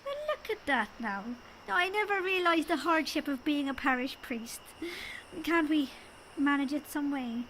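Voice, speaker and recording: High-voiced wily leprechaun king, acted by a woman. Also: animated, normal speed, a bit bright, slight background noise, low-quality recording. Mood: sly, teasing. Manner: folksy, sly, teasing storytelling. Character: small wily leprechaun king, jovial and proud. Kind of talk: audio drama acting. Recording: music behind the voice. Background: music.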